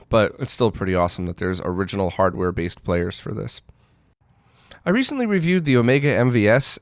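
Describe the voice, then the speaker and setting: A man with a mid-pitched voice hosts a gaming news roundup. He talks casually and conversationally, offering his own opinions as he goes. The sound is dry, with very little room in it. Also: no echo.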